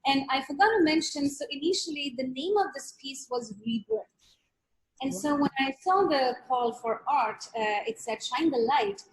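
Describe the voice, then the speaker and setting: A woman from Pakistan with a high voice talks about her artwork over a video call. She is earnest and reflective and repeats words to stress them. The sound comes through a call connection. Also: moderate pace, rough recording. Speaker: artist. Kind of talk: reflective interview talk.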